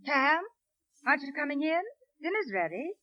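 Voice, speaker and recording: A woman's voice: sits high, big pitch swings, normal speed, dry recording, faint music behind. A concerned, composed stepmother in a fifties radio play. Her voice swings expressively, on a dry and slightly dull vintage recording. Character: composed, concerned wife and stepmother hiding secrets. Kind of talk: 1950s radio drama acting.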